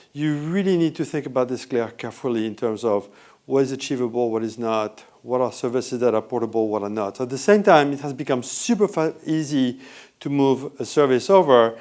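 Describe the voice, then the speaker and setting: A man with a French accent giving a lively, conversational conference talk and often asking the audience questions. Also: mid-range voice. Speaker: conference speaker. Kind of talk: live conference presentation. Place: hotel event room.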